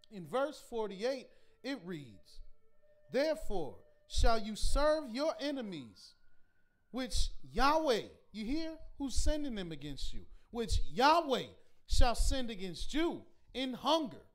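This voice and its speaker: A man teaching a scripture class in a preaching style, reading verses slowly and pressing his points with repeated rhetorical questions. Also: very high-pitched. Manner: emphatic, repetitive rhetorical questions; slow deliberate scripture reading.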